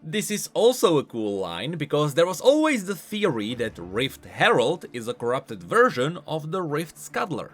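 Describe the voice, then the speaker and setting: A man giving scripted, explanatory commentary as a video creator covering game lore, in an animated manner. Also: on the high side, normal speed, few pauses.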